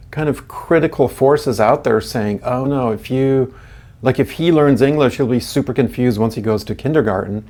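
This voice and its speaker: A man's voice: medium pitch, darkish tone. German-raised man in his sixties, reflective. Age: 60s. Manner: reflective, chuckling, many restarts. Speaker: guest. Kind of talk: casual interview chat.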